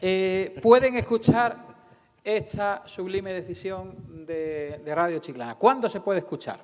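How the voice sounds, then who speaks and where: A man's voice: sits high, measured pace. A man hosting an informal presentation, speaking effusively with hesitant, repeated phrasing. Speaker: event host and narrator of a radio serial. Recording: echoey hall with long reverb, faint music.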